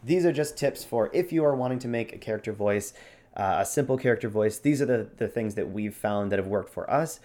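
A man's voice: on the low side, fairly quick, darkish tone. A man hosting a show, explaining techniques in a casual, encouraging and instructional way. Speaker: show host giving role-playing tips.

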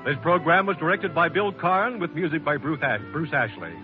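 American male announcer, high voice, scripted 1940s radio style, dull old recording, music underneath. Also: expressive, normal speed.

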